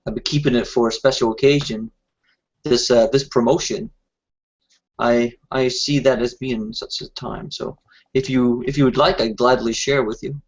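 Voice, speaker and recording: A man playing a tabletop game, speaking in a slow, formal way in character. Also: mid-range voice, steady tone, lo-fi sound. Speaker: player. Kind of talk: tabletop roleplay, partly in character.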